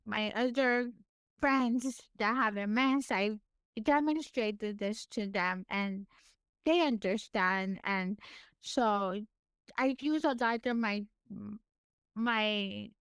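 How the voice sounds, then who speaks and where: A woman from California with a naturally high-pitched voice and a slight lisp, talking in a casual interview, her words effortful and a little slurred, with frequent laughs. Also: slow pace, clear, resonant tone, no echo. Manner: animated, laughs often, pushes extra hard on words. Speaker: podcast guest.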